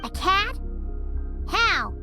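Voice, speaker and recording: Squeaky tiny game companion. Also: female voice, big pitch swings, over music, heavily acted. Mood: curious. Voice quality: squeaky, very high childlike voice. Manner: blunt, curious, quick to question. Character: tiny excitable floating companion, female. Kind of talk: video game voice acting.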